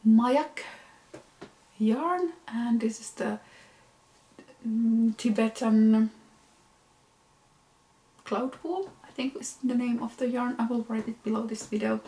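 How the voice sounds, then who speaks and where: A woman's voice: on the high side, a little mellow, rough recording. A woman from Finland, a native Finnish speaker, chatting casually to camera as host of her knitting show, with frequent ums and self-corrections. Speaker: knitwear designer and podcast host.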